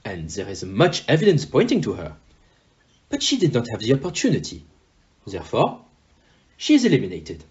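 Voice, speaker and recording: Casting: actor as pompous sleuth, composed and courteous, very animated pitch, audio drama, muffled lo-fi sound. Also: male voice, moderate pace, long pauses. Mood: assured. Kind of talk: amateur audio play acting.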